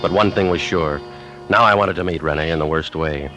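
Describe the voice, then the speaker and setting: An actor playing a terse, commanding, wry American army lieutenant in a 1940s radio drama. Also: male voice, rumbling low, a little fast, over music, rough recording. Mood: wry narrating. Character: hard-boiled American army lieutenant leading resistance fighters. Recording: 1940s radio broadcast recording.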